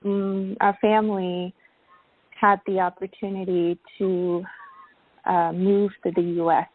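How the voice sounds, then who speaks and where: Slow, thoughtful woman on a phone-like line. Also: medium pitch, level tone, no echo. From born in Vietnam, grew up in the United States. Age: 30s. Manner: hesitant, thoughtful, halting. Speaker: interview guest. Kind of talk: reflective personal storytelling. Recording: narrow phone-like sound.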